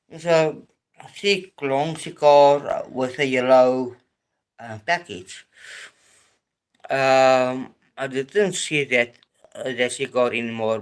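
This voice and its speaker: A South African man hosting a casual live stream, talking in a hesitant, halting way with many pauses and restarts. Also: mid-range voice, smooth.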